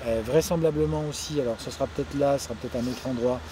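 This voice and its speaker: A man with a mid-pitched voice explains a project to visitors in an informal way. He pauses often to find his words. Urban noise and vehicles are clearly heard around him. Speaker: local official presenting a building project. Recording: noisy outdoor urban ambience, vehicles.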